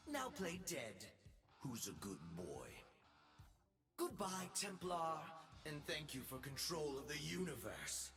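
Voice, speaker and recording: A man playing an arrogant, power-mad young space emperor in a video game, pompous and gloating, swinging between shouts and whispers. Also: on the high side, big pitch swings, slow, heavily acted. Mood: mocking, smug. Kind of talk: theatrical video game voice acting. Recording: loud music behind the voice. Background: music.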